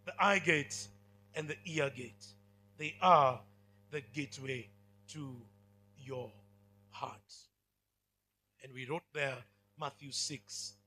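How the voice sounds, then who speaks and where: A man's voice: sits high, bright, forceful tone, slightly rough. A man with a Kenyan accent preaching a sermon to students. He has a big, commanding voice, speaks emphatically and keeps asking the crowd whether they are following. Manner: emphatic, with call-and-response questions.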